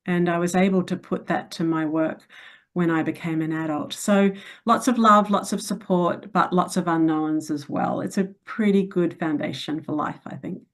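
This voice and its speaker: Frank, reflective lower-voiced woman guest. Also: fairly even intonation, moderate pace. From New Zealand. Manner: frank, reflective, many "um" fillers. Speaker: interview guest. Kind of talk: conversational interview answers.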